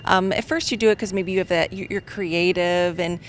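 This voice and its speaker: A woman's voice: lowish voice, fairly even intonation, brisk, slight background noise. A woman guest in an interview, talking in a conversational way with many you-knows and ums. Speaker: guest, field marketing manager. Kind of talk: interview answers. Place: trade show venue.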